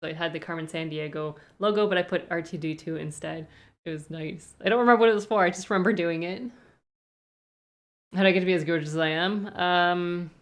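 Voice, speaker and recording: A woman's voice: fairly low pitch, fairly even intonation, brisk. A young woman with a Canadian accent, chatting casually on a live stream and answering viewer questions. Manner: rambling, thinks aloud with frequent hedges.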